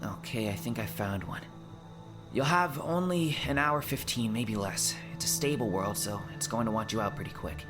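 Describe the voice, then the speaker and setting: Audiobook sidekick, played by a male narrator: incredulous, questioning friend, very animated pitch, music clearly behind. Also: moderate pace, close to an everyday voice. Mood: cooperative. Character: bewildered, doubtful male friend and technical sidekick. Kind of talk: audiobook character acting.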